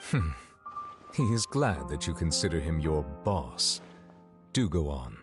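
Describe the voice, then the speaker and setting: A man voicing a solemn, reserved chief judge in a video game, speaking formally and with measured words. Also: extremely low pitch, big pitch swings, long reverb tail, music in the background, exaggerated voice. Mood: reserved, dry. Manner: formal, measured, deliberate wording.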